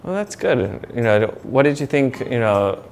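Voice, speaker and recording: A man hosting an interview, upbeat and quick to laugh, with many encouraging backchannels. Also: medium pitch, very animated, over music. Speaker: host. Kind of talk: casual interview.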